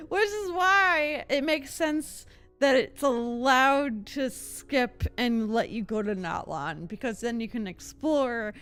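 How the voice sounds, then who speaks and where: A young adult woman streamer chatting casually over gameplay, informal and opinionated, thinking out loud. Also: medium pitch. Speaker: game streamer. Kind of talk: casual live gameplay chat.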